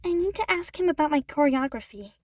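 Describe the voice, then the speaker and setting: A woman voicing an anxious, guilt-ridden young female chipmunk who speaks in a halting, apologetic way. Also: sits high, expressive, darkish tone, dry recording, studio quality, voice pushed up. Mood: hesitant, evasive.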